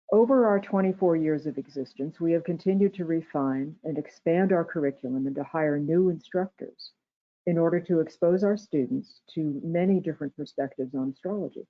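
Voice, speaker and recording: Soft-voiced woman on a phone-like line. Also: low voice. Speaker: education director hosting a graduation ceremony. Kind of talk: prepared ceremonial welcome speech. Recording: tinny, phone-like online meeting audio.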